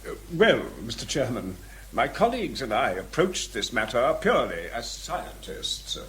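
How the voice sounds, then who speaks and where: A stiff academic in a radio drama. His medium-pitched voice is expressive but formal and precise, with clear background noise around it. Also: normal speed. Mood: earnest, stiff. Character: stiff, prim, earnest academic scientist.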